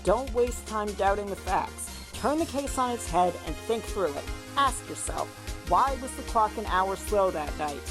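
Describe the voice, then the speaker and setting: A woman playing a firm, encouraging defense partner in a courtroom audio drama, steady and urging. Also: mid-range voice, unhurried, few pauses, music in the background, lightly acted. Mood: firm encouragement.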